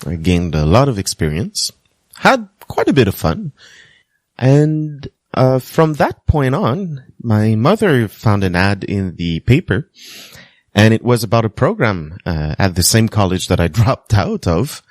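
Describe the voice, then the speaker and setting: Slow, lively man. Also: lowish voice, no echo. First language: French. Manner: earnest, self-deprecating, light humor. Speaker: podcast host. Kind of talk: personal storytelling monologue.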